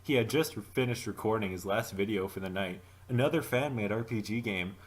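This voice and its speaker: A man's voice: lowish voice, brisk, poor audio. A man reading a story aloud as an amateur narrator, in a dramatic storytelling style. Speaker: fanfic reader.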